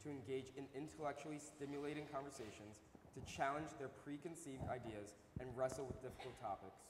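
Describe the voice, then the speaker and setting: A man's voice: medium pitch, fairly quick. A man presenter delivering a scripted address from a stage. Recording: echoey hall, faint noise.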